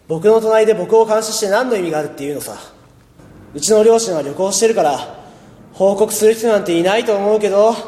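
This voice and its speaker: A man playing a laid-back, dryly sarcastic high school boy who narrates and acts in an amateur radio drama. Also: warm tone. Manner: dry, mildly sarcastic. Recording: echoey, long reverb.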